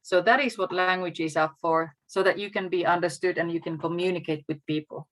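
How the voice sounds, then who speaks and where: A woman from Finland with a Finnish accent, a native Finnish speaker, talking as a guest teacher on a video call in a measured, encouraging way. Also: fairly low pitch, steady tone, a bit bright. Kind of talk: casual video call talk.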